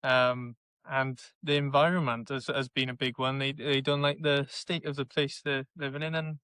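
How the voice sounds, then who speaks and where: A young man with a South Wales valleys accent, answering interview questions in a plainspoken, halting way. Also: medium pitch, little pitch movement, sharp tone, clean tone, dry recording. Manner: plainspoken, halting, searches for words. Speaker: interviewee, election candidate.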